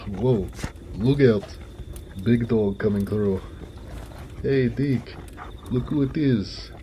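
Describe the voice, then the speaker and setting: A man playing a big, warm-hearted, jovial orc blacksmith in an affectionate, folksy, one-sided romantic audio roleplay. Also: medium pitch, slow pace, noisy background, close to an everyday voice. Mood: playful, fond.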